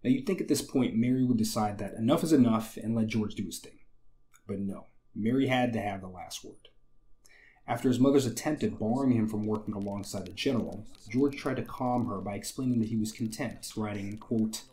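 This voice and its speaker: A young man with a slightly raspy, hoarse voice, hosting a history channel and narrating from a script with wry conversational asides. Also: fairly low pitch, brisk, warm tone, poor audio. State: recovering from illness, slightly hoarse.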